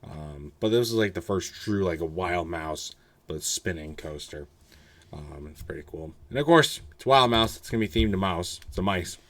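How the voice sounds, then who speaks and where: A hoarse young man casually reviewing a roller coaster, rambling with many fillers and blunt, candid asides. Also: low voice, expressive, normal speed, fairly bright tone.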